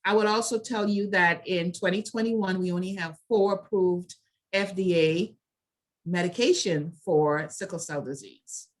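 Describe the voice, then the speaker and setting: A woman speaking as a health advocate in a prepared talk, with deliberate, emphatic and measured phrasing. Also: lowish voice. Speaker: health advocacy organization leader and panel speaker.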